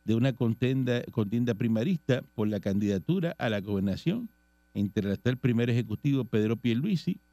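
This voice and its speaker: A Puerto Rican man hosts a radio talk show, reading political items aloud and then chatting about them. His voice is gravelly and mellow. The sound is dry and close. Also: mid-range voice, expressive, a little fast, no echo. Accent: Puerto Rican Spanish. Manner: reads news items and comments on them conversationally.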